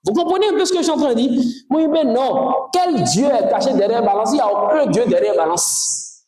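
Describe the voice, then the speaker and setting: A spiritual teacher from Togo lectures with lively emphasis, throwing questions out to the room. His voice sits high for a man. It rings with heavy echo off the walls. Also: brisk. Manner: animated, emphatic, questions to the audience. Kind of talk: lecture to a class. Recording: very echoey room.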